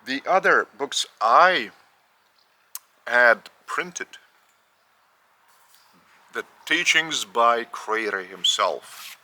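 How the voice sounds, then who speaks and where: A man with a Lithuanian accent, whose first language is Lithuanian, giving a spiritual teaching in a measured way, often repeating and restarting phrases. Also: mid-range voice, lively intonation, sharp tone. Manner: measured, with repetitions and careful restarts. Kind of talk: slow spoken teaching.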